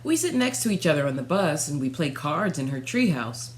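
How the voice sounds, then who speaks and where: A young woman reading a children's storybook aloud, narrating expressively in the first person with dramatic pauses. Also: sits low, big pitch swings. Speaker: storybook reader. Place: small furnished room at home.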